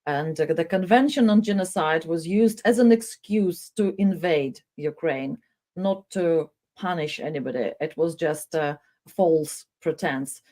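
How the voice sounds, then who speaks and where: A woman's voice: low-pitched, measured pace, warm tone. A woman hosting a news interview, speaking hesitantly with many fillers and restarts. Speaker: host.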